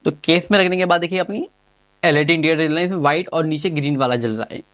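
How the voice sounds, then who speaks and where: A man reviews gadgets in a casual, chatty unboxing style. His voice sits a little high and the sound is fairly dry. He talks informally and with enthusiasm, circling back over his phrases. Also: quick pace, a bit bright, dry recording.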